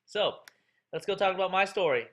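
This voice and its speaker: A man's voice: high-pitched, expressive, a little fast, fairly bright tone. A man in his thirties, a college instructor introducing himself to his class in a chatty, joking way with lots of fillers. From Texas, USA.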